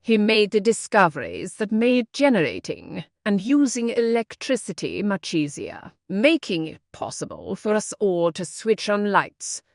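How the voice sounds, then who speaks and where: A woman's voice: mid-range voice, huge pitch swings, a little slow, creaky, hardly any pauses, dry recording, studio quality. A woman reading short educational passages aloud from a script as exam practice material.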